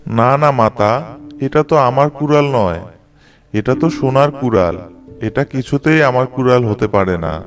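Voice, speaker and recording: A man's voice: medium pitch, normal speed, lightly acted. Man acting an earnest, humble woodcutter, slightly distant. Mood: earnest denial. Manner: earnest, plaintive. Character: honest, humble, hard-working poor woodcutter. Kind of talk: animated moral-tale cartoon acting. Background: music.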